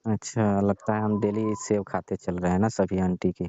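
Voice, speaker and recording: Low, muffled nagging male customer acted by a man. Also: flat delivery, moderate pace, dark tone, dry recording, lightly acted. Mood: complaining. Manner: prodding, insinuating, colloquial. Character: nagging, teasing male customer complaining about fruit. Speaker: complaining customer in a comic phone call sketch. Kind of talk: staged comic phone conversation, casual chat. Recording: dull, dry lo-fi call recording.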